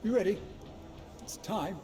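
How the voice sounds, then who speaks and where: An actor playing a menacing, commanding bounty hunter in a video game, speaking in curt, deliberate threats. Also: male voice, sits high, dark tone. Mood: urgent, determined. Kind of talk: video game cutscene acting. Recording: reverberant hall with music behind.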